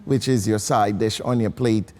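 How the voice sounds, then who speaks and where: A man's voice: fairly low pitch, fairly even intonation, moderate pace, darkish tone. Emphatic man, chef guest. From Bahamas. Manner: emphatic, passionate. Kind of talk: conversational interview answers.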